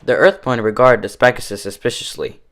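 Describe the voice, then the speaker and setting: A man's voice: medium pitch, fast, a bit bright. A man reading a comic fan fiction story aloud as its narrator.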